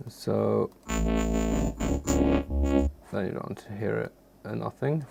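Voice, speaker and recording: A man from Sweden with Swedish as his first language talks through a music software tutorial. His voice is lowish and heavily creaky, and he goes slowly, searching for words in a modest, informal way. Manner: halting, searching for words, unassuming. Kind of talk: informal screen tutorial, unscripted. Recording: faint background noise, keyboard clicks.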